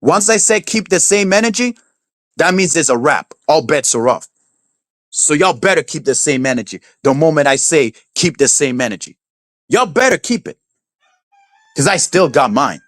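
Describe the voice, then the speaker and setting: A man's voice: a little high, lively intonation, moderate pace, fairly bright tone, no room sound, studio quality. A man with a Crucian accent from St. Croix, ranting heatedly on a livestream, profane and taunting. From St. Croix, US Virgin Islands. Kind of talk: angry livestream rant. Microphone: streaming setup.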